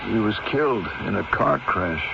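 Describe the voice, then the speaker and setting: Gravelly, weakened patient, radio drama. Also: male voice, on the low side, expressive, moderate pace. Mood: weak, fearful. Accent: American. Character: weakened, frightened male patient back from near death. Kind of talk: 1970s radio drama acting. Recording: muffled, noisy 1970s radio recording.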